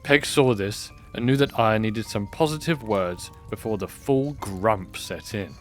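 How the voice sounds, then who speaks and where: A man narrating a scripted fantasy audio drama in a measured, expressive storybook style. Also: lowish voice. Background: music.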